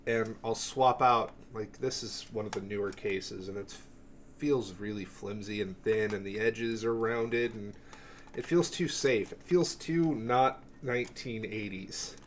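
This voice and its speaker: A man's voice: on the low side, a little slow. Casual man rambling over background noise. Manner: offhand, profane, self-interrupting. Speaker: music collector presenting a cassette collection. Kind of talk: casual rambling show-and-tell.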